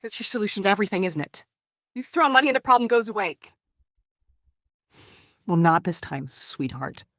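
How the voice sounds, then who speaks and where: A woman's voice: mid-range voice, big pitch swings, normal speed, long pauses, fairly bright tone, no room sound, clean studio sound. A woman playing a cool, defiant, sardonic wealthy woman facing her ex in an audio drama, with a taunting manner.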